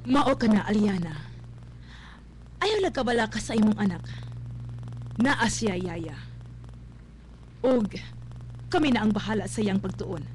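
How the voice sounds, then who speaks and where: A woman's voice: mid-range voice, lively intonation, unhurried, pauses often, darkish tone, lightly acted. A woman playing a composed, practical middle-aged mother in a radio drama, firm and reassuring, with a Cebuano Filipino accent. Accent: Filipino, Cebuano-speaking. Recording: faintly noisy live radio capture.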